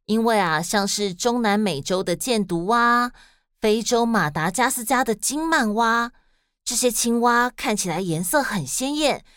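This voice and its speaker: A woman with a Taiwanese Mandarin accent narrating and explaining in a scripted, expressive style for children. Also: medium pitch, dry recording, clean studio sound. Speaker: children's story podcast host and narrator.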